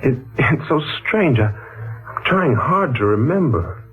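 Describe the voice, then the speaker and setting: An actor playing a puzzled, polite male houseguest in a 1940s radio drama, speaking earnestly and haltingly. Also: fairly high pitch, very animated. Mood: wondering, uneasy. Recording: muffled phone-like 1940s radio recording, faint music.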